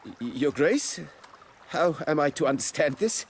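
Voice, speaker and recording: An actor playing an anxious, deferential nobleman winemaker in a video game, proud yet servile, with a formal and halting delivery. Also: on the high side, big pitch swings, a little hiss or hum. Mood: flustered, deferential.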